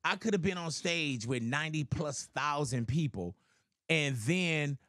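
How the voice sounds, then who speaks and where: A man's voice: fairly high pitch, animated, measured pace, fairly bright tone, dry recording, studio quality. A man hosting a casual interview, laid-back, who often answers with "right, right". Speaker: interview host.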